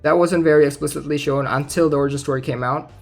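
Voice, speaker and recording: A young man giving casual, informal game news commentary as a YouTuber, thinking out loud in a hesitant way. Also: on the high side, steady tone, quick pace. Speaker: gaming YouTuber. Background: music.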